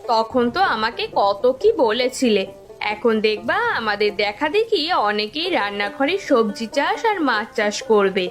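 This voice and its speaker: A woman's voice: on the high side, lively intonation, measured pace, soft background music. A woman voicing a stubborn, resourceful young village wife in a cartoon, speaking insistently and defiantly. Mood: firm. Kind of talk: Bengali village cartoon dubbing.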